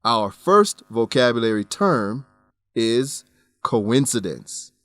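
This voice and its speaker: A man narrating a radio drama and teaching vocabulary in a scripted, clear, explanatory manner. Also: mid-range voice, big pitch swings, no echo. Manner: clear, explanatory, measured emphasis.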